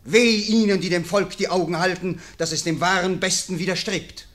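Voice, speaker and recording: A man's voice: high-pitched, fairly even intonation, moderate pace, a bit bright. An actor playing an ambitious young nobleman who argues his case earnestly and declaims in a classic radio play. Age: young adult.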